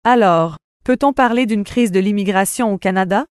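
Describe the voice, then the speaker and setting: A woman's voice: medium pitch, moderate pace, smooth, no echo, studio quality, lightly acted. A woman playing a courteous, formal radio presenter introducing and questioning a guest from a script. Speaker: radio interviewer. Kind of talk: scripted radio interview for a listening test.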